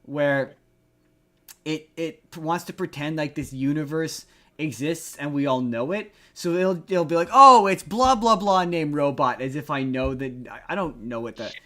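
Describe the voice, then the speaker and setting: A man's voice: a little high, normal speed, bright, forceful tone. A man co-hosting a podcast in casual chat, rambling and repeating himself for emphasis. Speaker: podcast co-host. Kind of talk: casual chat reviewing movies.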